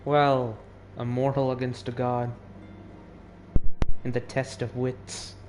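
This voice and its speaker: A man's voice: medium pitch, level tone, slow, music in the background. A teenage male gamer giving casual, musing off-the-cuff commentary while playing. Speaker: game streamer.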